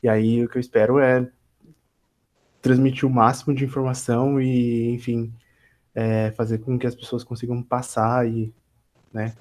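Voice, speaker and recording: A man's voice: mid-range voice, little pitch movement, normal speed, a little mellow. A man introducing himself on a video call, hesitant and repeating words as he goes. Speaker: guest teacher.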